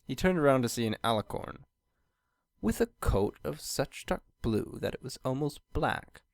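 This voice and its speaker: A storyteller reads scripted fiction aloud with very animated intonation. The voice sits at a middling pitch and is recorded dry and close, with no room sound. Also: normal speed, no room sound. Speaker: fan fiction reader, narrator.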